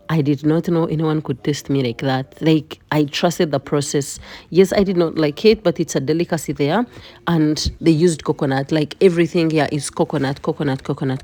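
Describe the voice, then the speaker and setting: Low-voiced woman, chatty. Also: darkish tone. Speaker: vlogger and home cook. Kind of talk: casual vlog narration.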